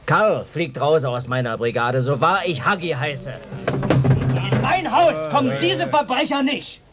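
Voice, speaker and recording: An actor playing a loud, hot-headed village brigade leader in a German radio drama, accusatory and emphatic. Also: male voice, a little high, big pitch swings, a little slow, music in the background. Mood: defiant, outraged.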